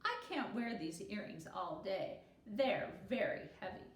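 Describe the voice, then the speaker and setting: A woman's voice: medium pitch, animated, a little slow, close to an everyday voice. A woman voicing a bossy, self-assured second-grade girl who tells stories in a confident, theatrical way. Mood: exasperated. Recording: roomy echo with faint noise.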